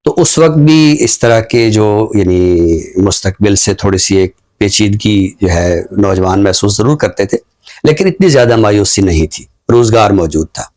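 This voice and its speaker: A middle-aged man with a Pakistani accent gives reflective social commentary, explaining deliberately and pausing mid-sentence. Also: fairly low pitch, animated, a little mellow. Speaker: literary talk show commentator.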